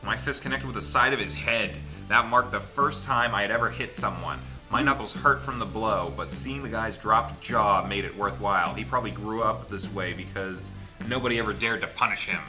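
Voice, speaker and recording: A man's voice: on the low side, normal speed. A man hosting a group let's play, reading a visual novel aloud theatrically and breaking off for casual joking asides. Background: game music.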